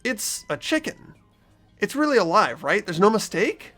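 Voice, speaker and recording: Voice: medium pitch, sing-song, normal speed, fairly bright tone, soft background music, voice pushed up. A performer voicing a wary, bewildered teenage schoolboy who questions things incredulously in a fan-voiced game. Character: bewildered, wary teenage schoolboy protagonist, sarcastic inner narrator.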